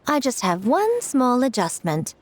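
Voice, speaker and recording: A woman's voice: high voice, wildly swooping pitch, measured pace, full character voice. An actress playing a playful, scheming, self-assured woman in a video game, with a teasing, coy and poised manner. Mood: amused, teasing. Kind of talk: English video game voice acting. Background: game music.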